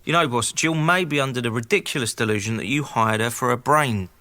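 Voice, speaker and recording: An actor playing an irreverent, sarcastic junior researcher in a radio drama, informal and mocking. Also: male voice, medium pitch, brisk, heavy background noise, lightly acted. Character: irreverent, sarcastic male subordinate in research team. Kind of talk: naturalistic radio drama acting.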